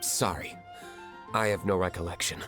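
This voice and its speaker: An actor voicing a stern, aloof tavern owner in a video game, deadpan and curt. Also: male voice, sits low, moderate pace, music in the background, natural voice. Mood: cold.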